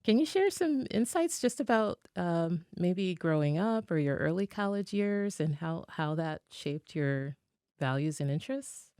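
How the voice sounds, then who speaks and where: A podcast host reading a guest's bio aloud with hesitant pauses and self-corrections, then starting the interview. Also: mid-range voice, lively intonation, a little mellow, smooth, no room sound, studio quality.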